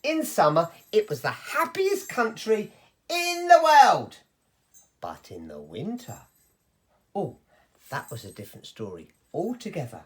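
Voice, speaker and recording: A woman's voice: medium pitch, wildly swooping pitch, measured pace. A woman reading a story to children in a theatrical, playful and emphatic way.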